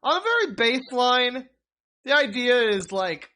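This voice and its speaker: A man's voice: very high voice, very animated, unhurried, bright, forceful tone. A man streaming, rambling and mocking a video in casual commentary.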